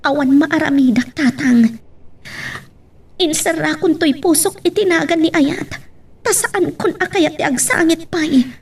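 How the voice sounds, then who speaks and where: Woman acting high-pitched, sorrowful Ilocano daughter. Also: a little slow, recorded from a distance. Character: sorrowful dutiful grown daughter torn by love. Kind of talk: Ilocano audio drama acting.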